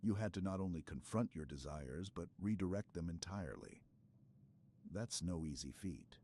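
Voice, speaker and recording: A man playing a stoic, earnest super-soldier in an intimate sci-fi ASMR roleplay, speaking in a halting, hushed way with dramatic pauses. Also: deep voice, lively intonation. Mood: weary, sincere.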